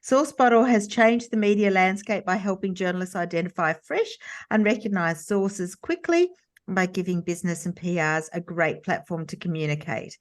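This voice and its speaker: Casual woman podcast co-host, easy laughing chat, medium voice. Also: medium pitch. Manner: relaxed, chatty, laughs easily. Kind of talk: casual chat and guest introduction.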